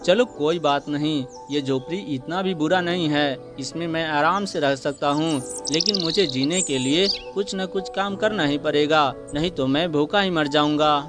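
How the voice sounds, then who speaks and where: A humble, hard-working younger brother from a cartoon moral tale, acted by a man. His voice sits fairly high and sounds earnest and polite. Music is clearly audible behind it. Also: normal speed, few pauses, close to an everyday voice. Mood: resigned, determined. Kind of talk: animated moral story dialogue.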